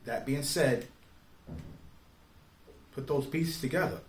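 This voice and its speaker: A man giving informal opinion commentary in street slang, speaking emphatically and deliberately, often putting rhetorical questions to the listener. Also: mid-range voice. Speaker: online commentator. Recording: roomy, echoing sound.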